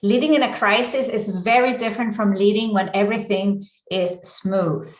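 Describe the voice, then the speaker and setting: A woman with an Icelandic accent leads a live online coaching presentation, speaking deliberately in short, emphatic phrases. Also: medium pitch, fairly even intonation. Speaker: business coach and session host. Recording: echoey room.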